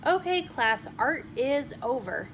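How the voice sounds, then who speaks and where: A woman voicing a brisk female schoolteacher in a children's storybook, calling out to her class. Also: high-pitched, sing-song, fairly bright tone, slight background noise, pitched above the performer's own voice, heavily acted. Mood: announcing. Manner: raised, announcing storybook delivery.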